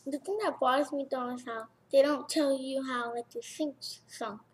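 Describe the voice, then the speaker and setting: A female speaker with a high voice joins a casual review. She puts her thoughts and questions plainly, in everyday conversational speech. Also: fairly even intonation, moderate pace, a little hiss or hum, low-quality recording.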